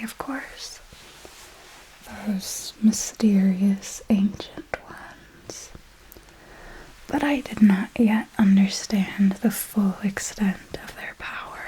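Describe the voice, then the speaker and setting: A woman playing an ancient, refined female vampire mentor in an ASMR roleplay, telling her story in a deliberate, theatrical and elegant manner. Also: mid-range voice, darkish tone, close to an everyday voice, rough recording. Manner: slow, deliberate, theatrical, elegant old-fashioned phrasing.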